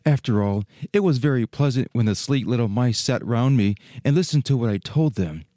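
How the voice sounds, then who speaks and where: A man's voice: medium pitch, expressive, a little fast, warm tone, no echo, studio quality, lightly acted. A man playing a wistful fir tree in an audio storybook, with a sighing, old-fashioned way of speaking. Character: wistful fir tree, regretful yet eager, male.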